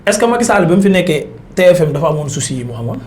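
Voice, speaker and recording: A man with a Senegalese accent and a notably high voice argues like a seasoned political commentator. He is emphatic and insistent. The recording has a clear roomy echo around him. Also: very animated, rapid-fire, a little mellow. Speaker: political commentator on a talk show. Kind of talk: argumentative political debate, mixing Wolof and French.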